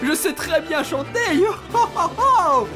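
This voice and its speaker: A man's voice: very high voice, lively intonation, a little slow. A man playing a cheerful, eager talking skeleton pirate musician in a comic audio drama. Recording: loud music behind the voice.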